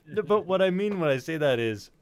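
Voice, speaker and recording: A man's voice: a little high, dry recording. A man hosting a casual chat show, talking in a wordy, joking way and swearing freely. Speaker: podcast and stream host.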